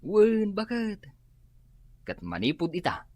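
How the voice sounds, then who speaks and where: An actress in an Ilocano comedy radio drama playing a stubborn drinker who whines and defends the drinking. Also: female voice, medium pitch, huge pitch swings, slow, a little mellow, heavily acted.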